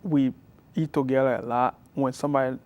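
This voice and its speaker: A young man from Liberia with a Liberian accent, answering interview questions softly and haltingly as a college student guest. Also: medium pitch, fairly even intonation, dull audio. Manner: soft-spoken, halting, with many restarts.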